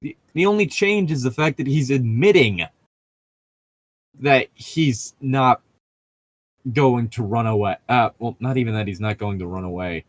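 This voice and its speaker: A man's voice: medium pitch, dry recording. Exasperated man in rambling casual chat, dry sound. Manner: exasperated, rambling, halting rephrasing. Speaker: co-host reading and mocking a novel. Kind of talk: casual critical chat with read-aloud lines.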